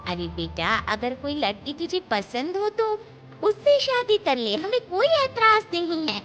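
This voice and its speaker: A woman's voice: very high-pitched, very animated, unhurried, fairly bright tone, slight background noise, exaggerated voice. A woman voicing a middle-aged Indian mother who scolds and wails over her son in a melodramatic story. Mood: exasperated. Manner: theatrical, scolding, plaintive. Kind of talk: melodramatic Hindi story voice acting. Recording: background music.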